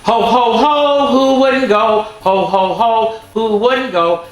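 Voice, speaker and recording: A woman hosting story time for children, reading and singing picture books with chatty, animated asides to her young listeners. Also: on the high side, slow pace, faint music behind. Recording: echoey room.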